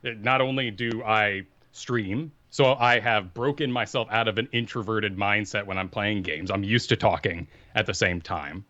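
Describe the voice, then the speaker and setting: A man co-hosting a gaming podcast, talking energetically and assertively in a deep voice into a studio microphone. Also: normal speed, few pauses, bright, forceful tone, no room sound, dull audio. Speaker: podcast co-host. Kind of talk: casual gaming podcast talk.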